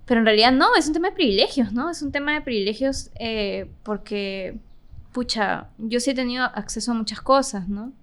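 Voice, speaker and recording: A woman's voice: on the high side, fairly quick. Peruvian woman in casual reflective conversation. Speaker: podcast guest.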